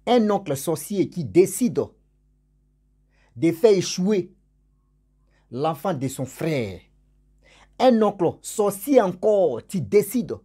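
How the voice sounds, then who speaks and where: A man with a cold tells a story in a husky, slightly hoarse voice, mellow and dark in tone. He speaks casually to his followers and repeats words for emphasis. His stressed words come out loud and his endings drop away low. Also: sing-song, slow pace, long pauses. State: has a cold and a cough. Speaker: storyteller for his channel's subscribers.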